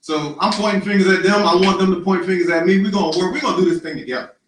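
A man running for city council, answering interview questions in a loud, emphatic, rallying way with lots of repetition. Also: high-pitched, racing. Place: live-streamed social media forum. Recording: very echoey, distant mic.

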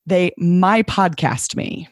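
A woman co-hosting a podcast in casual chat, hoarse this week, talking in a lively storytelling way. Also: on the low side, slow, dry recording, clean studio sound. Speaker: podcast co-host.

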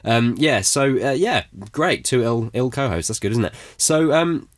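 A man's voice: medium pitch, expressive. A man hosting a political podcast, chatty and joking, sounding stuffy from a cold. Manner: chatty and joking, quick asides. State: has a cold. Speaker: podcast host. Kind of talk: casual political podcast chat.

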